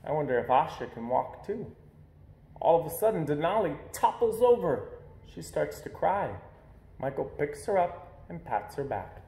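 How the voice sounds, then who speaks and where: Higher-voiced man reading aloud, roomy echo. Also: big pitch swings, moderate pace, creaky, mellow. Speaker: picture book reader. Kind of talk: expressive children's read-aloud narration.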